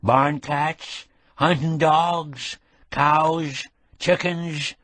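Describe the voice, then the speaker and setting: A man voicing a frail old rural caretaker who speaks slowly in short, country-grammar sentences, with a nasal, juicy lisp. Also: fairly high pitch, steady tone, fairly bright tone, no echo, pitched above the performer's own voice. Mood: fond, reminiscing. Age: older. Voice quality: nasal resonance and juicy, lisping sibilance.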